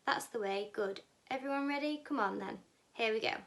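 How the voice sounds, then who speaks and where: A woman voicing a brisk, excitable male chocolate factory owner who calls out commandingly and boastfully in a storybook reading. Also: a little high, creaky. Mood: brisk, urging.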